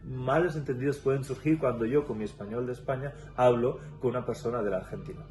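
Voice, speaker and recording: A man from Catalonia with a Catalan-tinged Spanish accent, a guest in a casual interview, explaining thoughtfully with pauses and restarts. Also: mid-range voice, darkish tone, soft background music. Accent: Catalan-influenced Spanish. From Catalonia, Spain.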